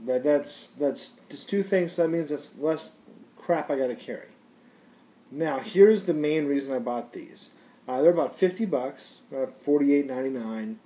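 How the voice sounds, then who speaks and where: A man's voice: on the high side, dark tone. A man giving a casual gear review in an informal, rambling, matter-of-fact way.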